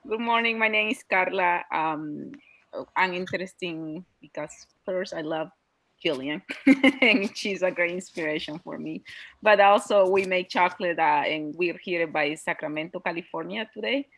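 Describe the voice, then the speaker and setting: A woman introduces herself informally as a craft producer. Her voice is on the low side, and the sound is dry with little echo. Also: moderate pace, gravelly, a bit bright. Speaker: chocolate maker. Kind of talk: casual self-introduction.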